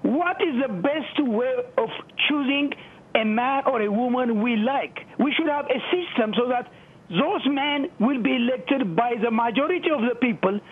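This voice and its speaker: A man's voice: extremely high pitch, moderate pace, breathy edge. A man from Ethiopia with an Ethiopian accent, giving an impassioned opinion as a caller to a talk show over the telephone. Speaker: phone-in caller. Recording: muffled, thin telephone line.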